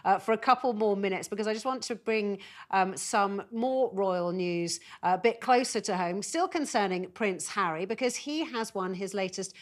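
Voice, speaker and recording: A woman's voice: medium pitch, moderate pace, few pauses. A British woman presenting a live news interview, putting long, conversational questions to guests as she hosts.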